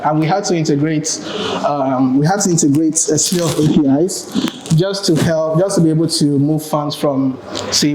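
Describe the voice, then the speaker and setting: A young man with a Ghanaian accent giving a relaxed, chatty tech conference talk, with many ums and restarts. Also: on the high side. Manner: relaxed, chatty, many ums and self-corrections, laughs at own jokes. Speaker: conference speaker. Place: large conference hall with audience. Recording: roomy hall with crowd.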